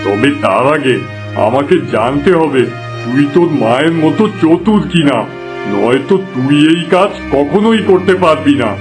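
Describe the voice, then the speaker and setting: A man's voice: on the high side, animated, measured pace. A man voicing a stern tantric holy man in a fairy-tale cartoon, solemn and authoritative. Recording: music behind the voice.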